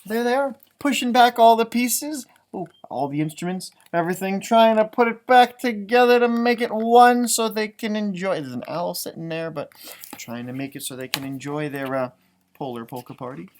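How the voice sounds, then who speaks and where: A man reading a children's picture book aloud in an animated way, stretching out read phrases and adding chatty, exclaiming asides. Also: high-pitched. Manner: animated, exclaiming, drawn-out words when reading. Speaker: storybook reader, elementary music teacher.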